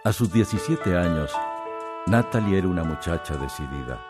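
A man's voice: sits low, lively intonation, normal speed. A man giving scripted narration for a radio drama. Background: prominent music.

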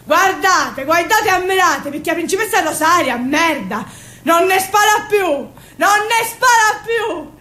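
Brash dreamy sicilian girl onstage, high voice, emotional, roomy echo. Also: lively intonation, unhurried, bright, forceful tone, exaggerated voice. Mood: mock grand, proud. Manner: emotional, swinging between confiding and exclaiming. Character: Rosaria, brash, dreamy young Sicilian woman, poor and yearning. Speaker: stage actress. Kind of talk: theatrical monologue in Sicilian-inflected dialect. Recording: roomy sound, faint low rumble.

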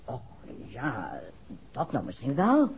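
An actress in a 1960s Dutch radio drama, playing a soft-spoken, expressive woman in a detective serial. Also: very deep voice, slow pace, husky, heavily acted. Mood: hesitant, puzzled. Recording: dull, noisy 1960s radio recording.

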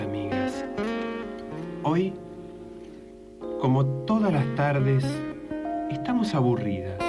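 A man doing a gushing, swooping daytime TV hostess. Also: on the high side. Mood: cheerfully chatty. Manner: chatty, exaggerated interest. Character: gushing, nosy hostess of a daytime women's TV show. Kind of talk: improvised radio sketch parody.

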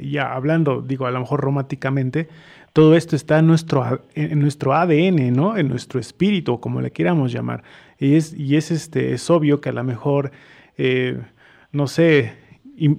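A man's voice: medium pitch, creaky, a little mellow. A man with a Mexican accent hosting a conversational radio interview, reflective and often repeating himself as he thinks aloud. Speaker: radio host.

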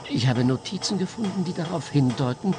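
A man's voice: a little high, expressive, fairly quick, lightly acted. A man playing a fearful, worried husband in a radio play, speaking earnestly and precisely. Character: anxious, fearful husband, a detective's client.

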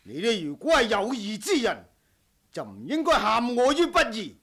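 A man's voice: sits high, huge pitch swings, moderate pace, fairly bright tone, breathy edge, heavily acted. A man acting a proud, honourable martial-arts household master in a Cantonese radio drama, speaking in formal, stern, old-fashioned heroic language. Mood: furious, commanding.

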